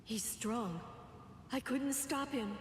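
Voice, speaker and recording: A woman's voice: fairly high pitch, slow, roomy sound, long reverb tail, slight background noise. An actress voicing a weakened, distressed but proud young woman warrior in an anime game. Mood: shaken. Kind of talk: English-dubbed anime game voice acting.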